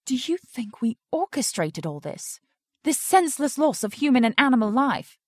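A woman's voice: high-pitched, big pitch swings, normal speed, no room sound, studio quality. An actress in an audio drama playing an indignant, strong-willed woman who works at a research facility.

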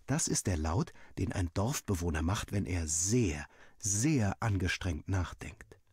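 Husky, animated male storyteller. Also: low-pitched, unhurried, dry recording, studio quality. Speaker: audiobook reader. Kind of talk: scripted first-person story narration, acting out dialogue.

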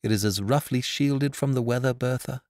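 A man voicing a kind young wife who speaks low and softly. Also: steady tone, takes it slowly, gravelly, dry recording, clean studio sound. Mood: tender. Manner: low, soft and tender.

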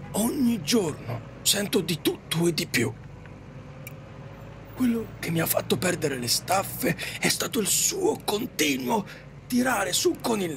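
A man's voice: fairly high pitch, gravelly, fairly bright tone, slight background noise, heavily acted. A man acting an exasperated, foul-mouthed taxi driver in an audio drama, ranting theatrically. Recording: faint music behind.

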